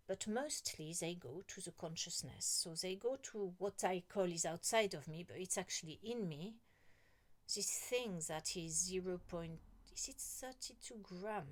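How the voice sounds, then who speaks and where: A middle-aged woman talking slowly and rambling to her viewers, often trailing off into a whisper. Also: on the low side. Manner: slow, rambling, musing, often drops to a whisper. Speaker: vlogger. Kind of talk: casual monologue to camera.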